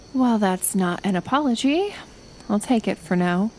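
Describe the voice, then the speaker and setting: A woman acting a kind but stubborn reclusive healer in an ASMR roleplay, gentle yet firm, unhurried, with sighs and pauses. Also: medium pitch, a little hiss or hum. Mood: firm, exasperated.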